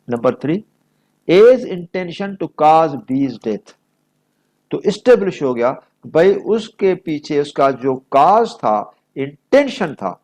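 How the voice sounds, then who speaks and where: A man's voice: fairly high pitch, darkish tone. A man with a Pakistani accent giving an explanatory lecture, reading examples aloud and stressing key phrases as he switches between two languages. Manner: didactic, emphatic, switching between Urdu and English, repeating key phrases. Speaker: law lecturer.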